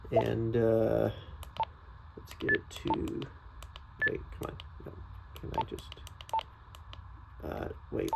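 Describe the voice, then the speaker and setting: A man with noticeable vocal fry talks through a casual product review at a slow pace. He sounds tentative, working things out aloud as he goes. There is some background noise behind his voice. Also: medium pitch. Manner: hesitant, thinking aloud, tentative.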